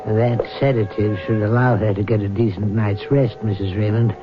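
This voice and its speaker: An actor playing a calm, probing middle-aged family doctor in a radio drama, speaking in a measured, questioning and firm way. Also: male voice, fairly low pitch, over loud music, close to an everyday voice. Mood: measured, grave. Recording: muffled, noisy old radio broadcast.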